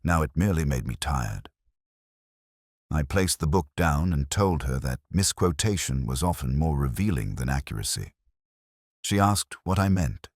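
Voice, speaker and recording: Very deep-voiced man narrating detective fiction. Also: lively intonation, no echo, clean studio sound. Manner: literary, measured, melancholy. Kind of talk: scripted first-person detective story narration.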